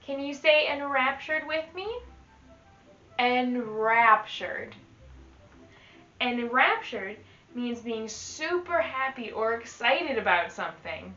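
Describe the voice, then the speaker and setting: A woman with a very bright, clear-cut delivery reads to young children at a very slow, careful pace. She is expressive and instructional, like a teacher at story time. The sound is echoey and a little dull, as if in a live room. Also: a little high, soft background music. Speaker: teaching artist reading to children. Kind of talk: slow, enunciated children's read-aloud.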